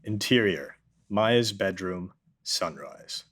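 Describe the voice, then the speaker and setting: A man's voice: sits low, animated, slow pace, fairly bright tone. A man narrating a table read, reading scripted introductions and stage directions. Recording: noticeable background noise.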